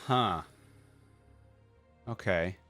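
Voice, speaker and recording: A man's voice: deep voice, drawn-out words, over music. A man hosting a reaction video, commenting casually in short, laid-back remarks as he muses aloud.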